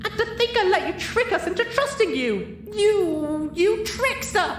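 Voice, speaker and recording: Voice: very high-pitched, lively intonation, a little slow, distant mic, voice pushed up. A storyteller voicing a theatrical pink cartoon pony mare with an exaggerated, sing-song manner. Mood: furious. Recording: roomy echo, clearly noisy background.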